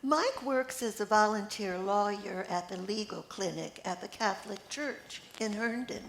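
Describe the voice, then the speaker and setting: An older woman reading the part of a sociable friend catching up, in an amateur play. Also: mid-range voice. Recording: echoey room with faint noise.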